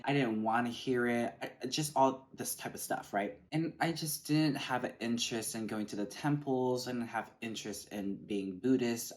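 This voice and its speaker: Young man, born in Vietnam. Earnest, reflective talk to camera, mid-pitched. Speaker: vlogger. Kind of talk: casual mukbang talk to camera.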